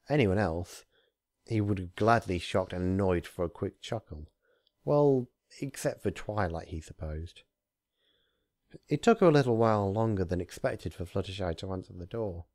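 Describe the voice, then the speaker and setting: A man with a fairly low voice, recorded dry with little room around it. He hosts story readings, moving between casual talk and narration with deliberate, theatrical phrasing. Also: darkish tone, no echo. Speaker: fan fiction reader, channel host.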